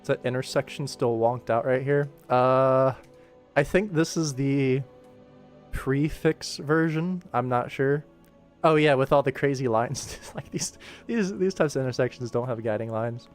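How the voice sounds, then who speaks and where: A man's voice: medium pitch, unhurried, faint music behind. A young American man talking casually and enthusiastically on a livestream, emphatic and given to going off on tangents. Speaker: livestream host.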